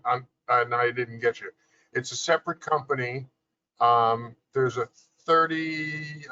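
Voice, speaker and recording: Slow, bright-voiced man explaining tech. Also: mid-range voice. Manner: measured, explanatory, with many fillers. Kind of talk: detailed technical explanation on a video call. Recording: dull lo-fi video call audio.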